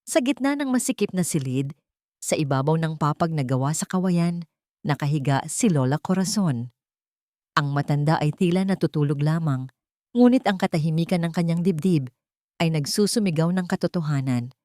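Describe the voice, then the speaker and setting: A woman's voice: low voice, lively intonation, normal speed, warm tone, no echo, studio quality. A woman with a Filipino accent reading a dramatic scripted story. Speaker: narrator.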